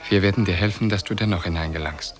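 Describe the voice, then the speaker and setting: An actor playing a strange creature who serves as a solemn, proclaiming guide in a 1950s German radio play. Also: male voice, deep voice, fast talker, lightly acted. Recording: old radio tape, crowd noise behind.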